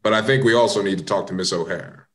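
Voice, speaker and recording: A man playing a composed, probing American police inspector in an amateur radio mystery, questioning politely in measured phrases. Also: on the low side, fairly bright tone, lightly acted.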